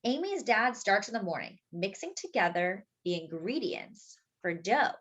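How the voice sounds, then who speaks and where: A woman's voice: mid-range voice, sing-song, unhurried, a bit bright, dull audio. A woman hosting story time, reading a picture book expressively for young children, stretching key words and adding simple explanatory asides.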